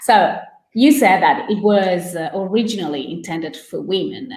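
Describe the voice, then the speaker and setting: A woman moderating a panel, asking questions hesitantly with many filler pauses. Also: on the low side, a little mellow, roomy sound, rough recording.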